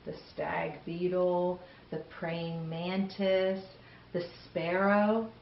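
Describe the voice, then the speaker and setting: A woman's voice: on the low side, steady tone, very slow, vocal fry, roomy sound, lo-fi sound, a little hiss or hum. A woman hosting a children's storytime, reading aloud expressively and chatting enthusiastically with young listeners. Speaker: children's storytime host and reader.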